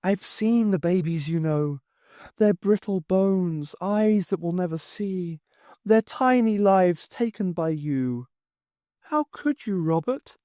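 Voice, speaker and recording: A man voicing the ghost of a dead woman with a sing-song Scottish Highland accent, speaking through a child and accusing her husband. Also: animated, slow pace, a little mellow, no echo, studio quality. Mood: sorrowful accusing. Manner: accusing, sorrowful. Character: grieving pious Highland wife's ghost, accusing.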